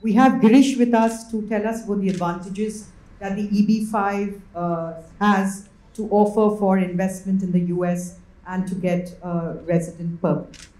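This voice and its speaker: A woman's voice: medium pitch, fairly even intonation, moderate pace, warm tone. A woman moderating a conference panel and introducing speakers. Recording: echoey room sound.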